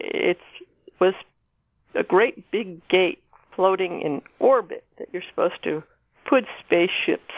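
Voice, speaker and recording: A woman being interviewed as an author, talking casually and haltingly as she thinks aloud over a narrow, muffled call. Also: lowish voice, no room sound. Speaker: author guest. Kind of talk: casual interview talk explaining fiction. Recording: muffled narrow phone-like line.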